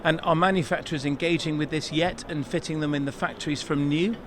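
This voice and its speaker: A man's voice: on the high side, fairly even intonation, quick pace. Enthusiastic man interviewing at a trade show. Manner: enthusiastic, leading questions. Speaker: podcast host. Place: exhibition stand indoors. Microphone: handheld interview mic. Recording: noisy trade show floor.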